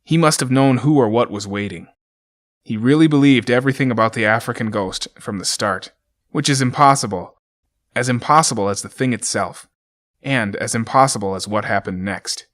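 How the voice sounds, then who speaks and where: A man voicing a doomed ranger who reads his last journal entries in a grim, measured way. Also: medium pitch, a bit bright, natural voice. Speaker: journal narrator in an audio drama. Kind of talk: dramatic reading of a journal.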